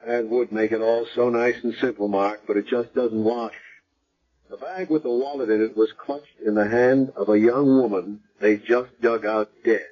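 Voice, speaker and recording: An actor as a dry, matter-of-fact detective. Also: male voice, mid-range voice, fairly even intonation, normal speed, lightly acted. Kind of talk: 1970s radio drama acting. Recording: dull lo-fi vintage radio recording.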